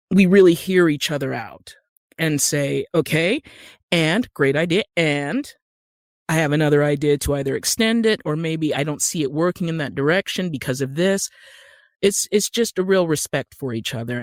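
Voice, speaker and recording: A woman's voice: deep voice, dry recording. American woman interviewee, close dry sound. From Utah, United States. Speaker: podcast guest, screenwriter and actor. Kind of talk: conversational interview.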